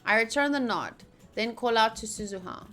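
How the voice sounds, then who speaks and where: A woman's voice: a little high, normal speed, a bit bright, soft background music. A woman streaming a game, reading its text aloud and chatting casually with playful asides.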